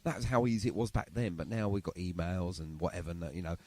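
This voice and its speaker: A man's voice: low voice, fairly even intonation, fast, darkish tone, dry recording. A man hosting a casual interview show, chatty and effusive with his guest. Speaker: podcast and radio show host.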